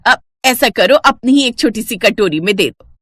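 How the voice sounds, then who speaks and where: A woman voicing a pushy, chatty middle-aged neighbor woman who always begs for food, with a shrill wheedling tone. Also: animated, normal speed, a bit bright, no room sound, clean studio sound.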